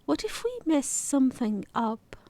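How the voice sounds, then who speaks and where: A woman voicing a bold, eager young girl in a storybook, an excitable, adventurous best friend. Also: sits high, measured pace, pitched above the performer's own voice, exaggerated voice. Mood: nervous. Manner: excitable, exclaiming.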